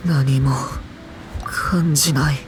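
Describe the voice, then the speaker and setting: Man acting gentle earnest boy hero. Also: a little high, steady tone, unhurried, noisy background, lightly acted. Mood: determined, strained. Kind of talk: Japanese anime game acting.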